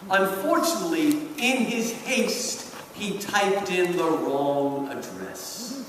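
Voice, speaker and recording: Animated high-pitched American man in echoey hall. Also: slow pace. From New Jersey, United States. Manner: theatrical, emphatic storyteller. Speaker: lecturer. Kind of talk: animated public lecture with humorous storytelling. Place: large reverberant event hall. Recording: echoey hall from far off, noisy.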